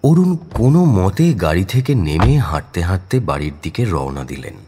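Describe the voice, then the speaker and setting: A man's voice: sits low, very animated, normal speed, mellow, slight background noise. A man narrating an audio drama in a scripted, dramatic storytelling style.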